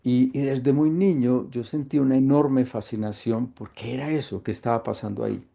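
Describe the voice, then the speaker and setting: A middle-aged Colombian man with a Colombian accent, leading an online workshop in a calm, thoughtful way with frequent hesitations. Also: medium pitch, normal speed, gravelly. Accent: Colombian Spanish. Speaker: workshop facilitator. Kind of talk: reflective online workshop talk. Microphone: video call.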